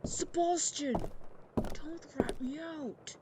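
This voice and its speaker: A woman plays a small, stubborn boy at bedtime in an anime roleplay, fairly high and slow. He sounds sleepy and reluctant, pleading like a child, over clearly audible background noise. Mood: whiny pleading.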